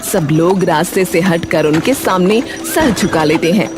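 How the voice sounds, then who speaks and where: A woman reading scripted, dramatic narration for an animated story, with music behind her. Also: on the low side, a little slow.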